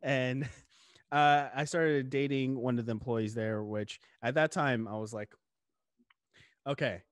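A young man hosting a casual interview podcast from a home studio, talking with his hands into a boom-arm mic. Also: slow, dry recording. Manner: animated, talks with his hands. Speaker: podcast host. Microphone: studio mic on boom arm, headphones.

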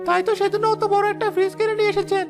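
A woman's voice: very high voice, flat delivery, very fast. A woman voicing an outspoken poor villager in a moral cartoon. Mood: indignant. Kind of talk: Bengali moral cartoon dialogue. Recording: faint music behind the voice.

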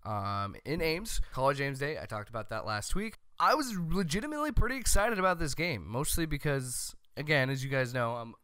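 Animated, bright-voiced American man. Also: medium pitch, fairly quick, few pauses, clear, resonant tone, no room sound. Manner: loud, opinionated, animated. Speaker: sports show host. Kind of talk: comedic sports commentary to camera. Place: small home room, indoors. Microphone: close desk microphone on boom arm.

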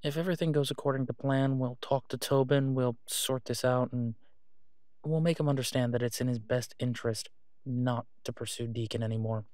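Male actor as tired werewolf mate, mid-pitched, halting, reassuring, devoted, intimate audio roleplay, dry sound. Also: steady tone, a little mellow, no echo, lightly acted. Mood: weary, reassuring. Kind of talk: intimate roleplay audio drama, one side of a phone call.

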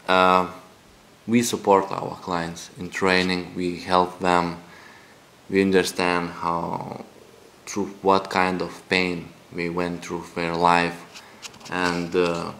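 Deep-voiced man pitching. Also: creaky. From Lithuania. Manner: halting, repetitive, non-native phrasing. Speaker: dating coach. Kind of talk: direct-to-camera sales pitch. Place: indoor room with a TV screen behind.

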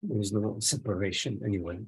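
A man leading a guided meditation as a teacher, giving gentle instructions in sparse phrases with long pauses between them. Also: fairly low pitch, gravelly, breathy edge, poor audio.

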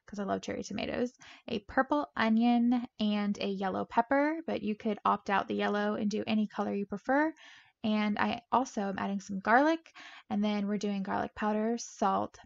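A woman's voice: mid-range voice, vocal fry, dry recording, lo-fi sound. A young woman narrating her home meal prep in a bubbly, chatty way, often laughing between steps. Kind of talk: casual voice-over cooking narration. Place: home kitchen.

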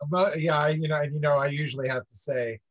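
A man from upstate New York, a guest in a casual interview, talking in an earnest, measured way with light laughs. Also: fairly high pitch, steady tone, a bit bright, dry recording. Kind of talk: casual video-call interview. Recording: dull muffled dry audio.

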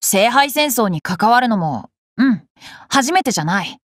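A woman's voice: fairly high pitch, big pitch swings, a little slow, no echo, clean studio sound. A woman voicing a cheerful, curious boy king for an anime game, boyish and polite, with playful self-assurance. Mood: thoughtful, candid. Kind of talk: Japanese anime game character lines.

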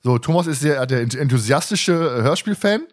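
A man's voice: mid-range voice, animated, moderate pace. A man hosting a casual fan podcast, talking in a rambling way and often repeating words and phrases. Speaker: podcast host.

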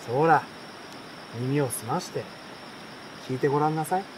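A man's voice: a little high, normal speed, close to an everyday voice. Man playing a folksy, chatty bird catcher. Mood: matter-of-fact, insistent. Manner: colloquial, explaining, self-assured. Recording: outdoor night crickets and campfire noise.

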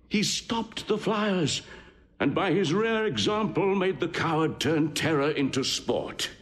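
An actor playing a grave, ardent Roman general praising a warrior in measured, declamatory Shakespearean verse. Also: male voice, a little high, a bit bright, exaggerated voice. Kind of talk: classical Shakespearean stage acting. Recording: long hall reverb.

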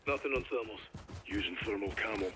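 An actor playing a cool, laconic special forces sniper in a video game, terse and deadpan. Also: male voice, on the low side, fairly quick, close to an everyday voice. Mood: cool, watchful. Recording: loud gunfire and battle noise.

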